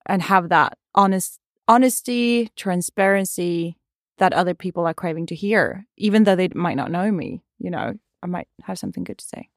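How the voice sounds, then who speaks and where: A woman's voice: fairly low pitch, normal speed, dry recording, clean studio sound. A woman, talking earnestly in a casual podcast chat, with frequent "like" and "kind of.". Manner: earnest, uses "like" and "kind of" often.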